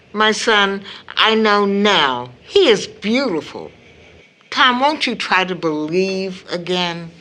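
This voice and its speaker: An actress playing a devout, gentle middle-aged mother in an audio drama, earnest and measured. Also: fairly low pitch, exaggerated voice. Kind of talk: stage-style audio drama acting.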